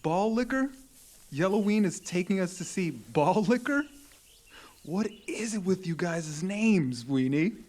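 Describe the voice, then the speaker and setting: Crude mouthy teen boy, played by a man, sarcastic, vulgar, a bit high-pitched. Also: lively intonation, measured pace, a little mellow. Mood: mocking. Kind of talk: audio drama acting.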